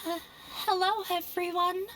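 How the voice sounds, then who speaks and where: An actress playing a nervous, awkward young daughter in a video game, speaking hesitantly. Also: female voice, very high voice, level tone, a bit bright, a little hiss or hum. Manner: halting, awkward. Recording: faint music behind the voice.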